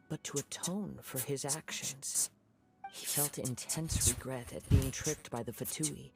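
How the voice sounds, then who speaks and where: A calm young wandering samurai speaks in a composed, reflective way, his mid-pitched voice carrying a gravelly edge. Clear game music plays behind his words. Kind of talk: game voice acting.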